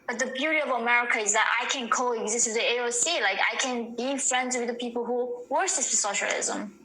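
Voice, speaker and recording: Bright Korean-accented woman in a roomy space. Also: a little high, brisk, a little hiss or hum. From North Korea. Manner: earnest, frequent "like" fillers. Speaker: interview guest. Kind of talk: casual interview answers.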